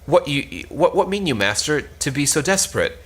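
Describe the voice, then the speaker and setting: Man reading a wry page, lively pitch. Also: normal speed, breathy edge, full character voice. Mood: puzzled, wry. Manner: theatrical cold reading, questioning. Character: Epi, wry young male page serving the braggart knight.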